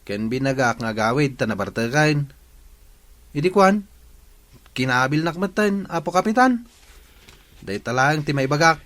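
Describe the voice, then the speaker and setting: A man's voice: a little high, animated, a little slow, pauses often, a bit bright. An actor with an Ilocano accent playing an aggrieved, plaintive male villager in a radio drama. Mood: plaintive, explaining.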